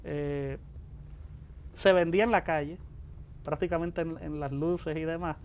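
A man in his forties with a Puerto Rican accent tells stories in a relaxed, conversational way. His voice sits high for a man and has a gravelly creak. There is light noise around him as he talks. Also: unhurried, dull audio. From born in the Dominican Republic, raised in Puerto Rico from age ten. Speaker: interview guest, music producer. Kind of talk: casual anecdotal storytelling.

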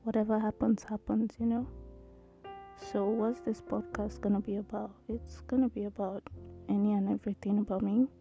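A woman in her thirties with a tiny, soft voice hosting her own podcast, talking hesitantly with many ums. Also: medium pitch, little pitch movement, moderate pace, lo-fi sound, faint music behind. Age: 30s. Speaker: podcast host. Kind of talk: casual solo podcast intro.

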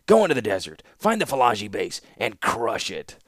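A man's voice: fairly low pitch, huge pitch swings, normal speed, no echo, clean studio sound. A man voicing an impulsive, aggressive male captain of the guard who speaks bluntly. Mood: forceful. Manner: forceful, blunt.

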